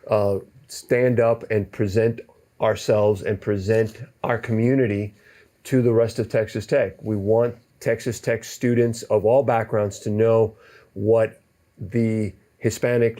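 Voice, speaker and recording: Mellow-voiced male history professor. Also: lowish voice. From Cuba, raised in Miami. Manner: deliberate, repeats words while starting. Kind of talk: panel discussion.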